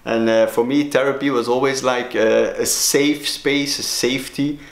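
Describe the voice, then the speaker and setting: A man from Belgium, a native Dutch speaker with a Belgian Dutch accent, talking to camera as a sobriety coach, halting with many fillers and restarts. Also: mid-range voice, steady tone, gravelly, roomy sound. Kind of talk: solo talking-head vlog.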